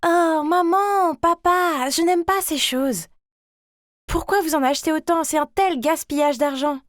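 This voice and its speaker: A woman's voice: extremely high pitch, measured pace, dry recording, clean studio sound. A woman voicing a chatty, ordinary schoolgirl, an overlooked younger sister who tells her own story expressively. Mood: reluctant, embarrassed. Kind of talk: animated first-person story narration.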